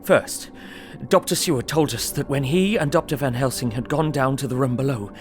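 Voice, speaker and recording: Man playing troubled young husband telling his diary, sombre and measured, audio drama with music behind. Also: medium pitch, lightly acted. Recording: music under the voice.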